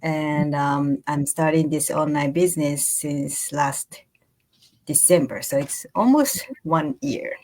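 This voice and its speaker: A woman from Okinawa, Japan, co-hosts and introduces herself in simple, halting sentences. Her voice is low for a woman. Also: darkish tone. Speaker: co-host. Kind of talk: self-introduction in a live chat.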